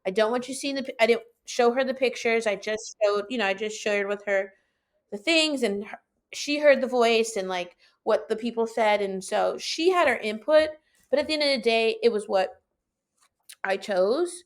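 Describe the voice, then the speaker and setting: A woman with a high-pitched voice telling a personal story in casual, animated conversation, acting out her own reactions as she goes. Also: moderate pace. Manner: animated, colloquial, acts out own quoted reactions. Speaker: podcast guest telling own experience.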